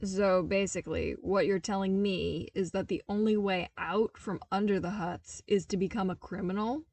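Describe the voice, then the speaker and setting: A woman voicing a skeptical, terse young man in a story reading, questioning in a measured, dubious way. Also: medium pitch, fairly even intonation, darkish tone, dry recording, close to an everyday voice. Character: skeptical, terse young man, sheltered core-worlder friend.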